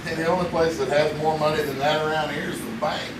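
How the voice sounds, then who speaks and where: A man's voice: fairly high pitch, steady tone, quick pace, noisy background, close to an everyday voice. An actor playing JC, a hesitant, good-natured young man who reads comic books, in a live stage radio drama. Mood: goofy. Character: naive young man JC, comic-book reader. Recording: echoey theater sound from a distant mic.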